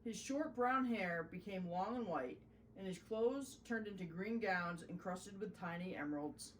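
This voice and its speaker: A woman narrating a children's storybook in an expressive, deliberate storytelling manner. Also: medium pitch, recorded from a distance, a little hiss or hum, rough recording. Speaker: host reading a children's story.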